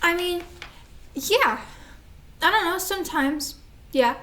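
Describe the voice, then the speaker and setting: A woman playing an anxious, confused little girl with a hesitant, halting delivery in a staged drama reading. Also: very high voice, animated, pushed and bright, exaggerated voice. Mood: puzzled, unsure. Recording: roomy, echoing stage recording.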